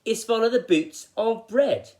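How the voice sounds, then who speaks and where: A man's voice: sits high, animated, measured pace, warm tone, pitched above the performer's own voice. A man voicing a confident young boy in a fairy tale, reassuring and keen to explain. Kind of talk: children's storybook character voice.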